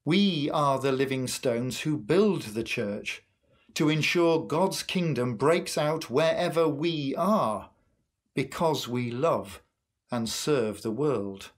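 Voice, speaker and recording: Slow, measured man preaching. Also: mid-range voice, lively intonation. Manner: measured, earnest, deliberate phrasing with pauses. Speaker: church minister leading worship. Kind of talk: sermon and prayer, read from a script. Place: small curtained room at home, indoors.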